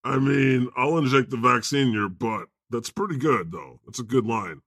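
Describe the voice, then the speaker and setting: A man's voice: mid-range voice, normal speed, fairly bright tone, no echo, clean studio sound. A man co-hosting a podcast with casual, irreverent, sweary comedic banter about the news. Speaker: podcast co-host.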